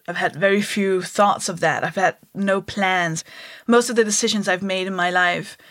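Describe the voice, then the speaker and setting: A woman in her thirties from Denmark, a comedian speaking as a podcast guest. Her delivery is wry and self-deprecating, with quick asides, at a mid-range pitch. The recording is dry and close. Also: fairly bright tone, no echo. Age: 30s. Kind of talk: candid interview conversation.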